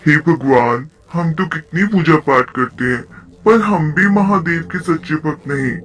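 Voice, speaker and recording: Gossiping village worshippers voiced by a man exclaim in a high, slow-paced voice. They are devout, sceptical cartoon storybook folk, with music behind. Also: fairly bright tone. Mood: dismayed.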